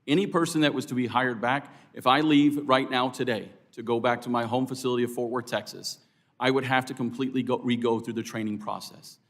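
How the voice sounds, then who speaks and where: Male American union official as hearing witness, medium pitch, earnest and emphatic, formal yet unscripted. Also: fairly even intonation, a little fast, fairly bright tone. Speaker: air traffic controllers' union official testifying as witness. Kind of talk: spontaneous formal testimony. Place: congressional committee hearing room.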